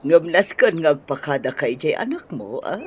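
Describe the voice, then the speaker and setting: A woman's voice: sits low, very animated, normal speed, a little mellow, slight background noise. An actress with an Ilocano accent voicing a fussing, caring older mother in a radio drama. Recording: dull, lo-fi audio.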